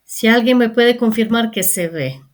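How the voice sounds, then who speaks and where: A woman chairing an academic panel, talking in a brisk, informal and practical way while she sorts out the setup. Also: on the high side. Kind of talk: moderating a panel.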